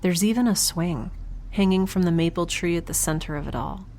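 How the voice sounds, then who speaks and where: Wry, wistful young woman stranded in space played by an actress, lowish voice, casual diary delivery, ambient noise behind her. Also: fairly quick, a little mellow, natural voice. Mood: wistful, reflective. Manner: casual, conversational, sardonic. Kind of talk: audio drama, first-person recorded diary.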